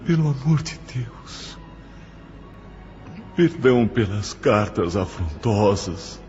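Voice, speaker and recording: A man playing a stricken, remorseful old man in an audio drama, halting and pleading as he begs forgiveness. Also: lowish voice, mellow, exaggerated voice. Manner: slow, halting, pleading delivery. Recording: dull lo-fi audio with music underneath.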